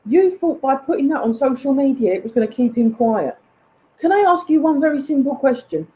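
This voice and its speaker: A woman's voice: sits high, lively intonation, a little fast, dark tone, highs cut off. A woman delivering an indignant, emphatic personal rant, addressed accusingly to someone who is absent.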